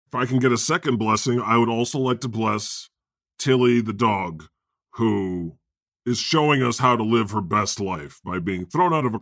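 A man's voice: medium pitch, a bit bright, dry recording. A male podcast co-host, joking in a dry, mock-solemn manner. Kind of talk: casual podcast chat.

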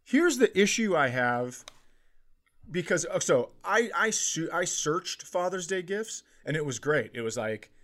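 Middle-aged American man, slightly high voice. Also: sing-song, unhurried, fairly bright tone. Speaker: comedy podcast host. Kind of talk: casual comedic chat.

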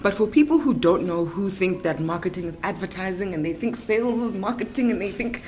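A woman hosting a podcast, reading a measured, upbeat scripted introduction and then asking her guest questions. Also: medium pitch, lively intonation, darkish tone. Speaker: podcast host.

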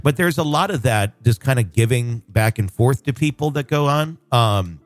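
A man's voice: mid-range voice, lively intonation, moderate pace, no room sound, soft background music. A man hosting a casual podcast, rambling with fillers and restarts. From northwest Arkansas, USA. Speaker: podcast host.

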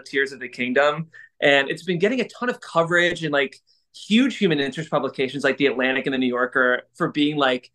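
A man with a fairly high, very bright and forward voice co-hosts a podcast. He chats casually, with enthusiasm and a reflective streak. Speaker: podcast co-host and producer.

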